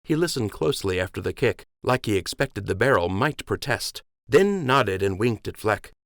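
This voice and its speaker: Low-voiced male audiobook narrator. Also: moderate pace, dry recording, studio quality. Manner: expressive storytelling.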